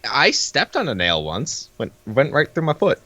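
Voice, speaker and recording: A man of average pitch bantering casually as a comedy co-host. His voice is bright and swings widely in pitch. He comes across as blunt, exasperated and foul-mouthed. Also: wildly swooping pitch, normal speed, muffled recording. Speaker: co-host reacting to a comic reading.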